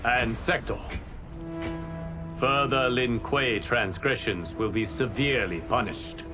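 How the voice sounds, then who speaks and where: A man playing a stern, solemn guardian god in a video game, speaking formally and deliberately with authority. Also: medium pitch, music in the background, lightly acted. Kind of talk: video game cutscene acting.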